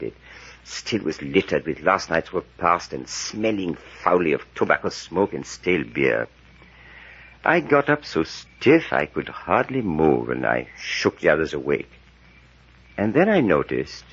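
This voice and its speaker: An actor playing a grave, secretive professor in 1940s radio drama style, speaking in a deliberate, dramatic way. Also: male voice, extremely low pitch, big pitch swings, a bit bright, slightly rough, exaggerated voice. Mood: haunted, dramatic. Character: grave, secretive male professor recounting horrors. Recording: dull vintage radio recording.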